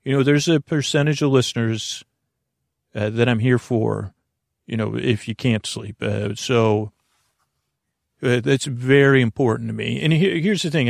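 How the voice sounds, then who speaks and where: A man with a creaky voice hosting a bedtime podcast, rambling through a meandering introduction in a halting, digressive way, repeating and smushing words. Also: medium pitch, no echo, clean studio sound. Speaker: podcast host.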